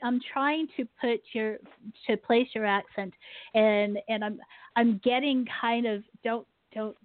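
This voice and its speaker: A woman's voice: a little high, fairly even intonation, a little slow. A woman co-hosting an interview in casual chat, hesitant and repeating words as she searches for them. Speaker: co-host. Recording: phone-like line, dry.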